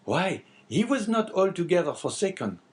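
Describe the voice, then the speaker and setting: A bitter, talkative innkeeper from a classic novel, performed by a male narrator. He speaks in a raised, swooping pitch, plaintive and emphatic. He sounds like he wavers between grievance and caution. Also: very animated, normal speed.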